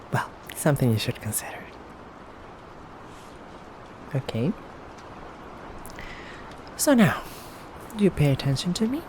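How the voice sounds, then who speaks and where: A man playing a menacing, teasing male vampire captor in an ASMR roleplay, speaking softly and hesitantly. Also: mid-range voice. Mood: quietly threatening. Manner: slow, hesitant, teasing, quietly threatening. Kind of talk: ASMR roleplay addressed to the listener. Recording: clearly noisy background ambience.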